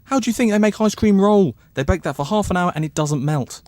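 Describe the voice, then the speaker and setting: A man's voice: sits high, lively intonation, a little fast, a little mellow, dry recording. A man playing a demanding, self-important customer in a radio comedy, indignant and insistent. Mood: irate. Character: demanding, self-important male boy-band pop star and complainer. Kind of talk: spoof docu-soap radio comedy acting.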